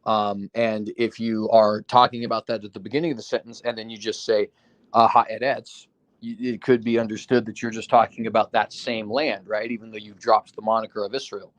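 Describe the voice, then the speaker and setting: Low-voiced man explaining, a bit foggy. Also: level tone, brisk. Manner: explains with examples, hedging, frequent "right?" and fillers. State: recovering from illness, foggy. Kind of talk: casual discussion.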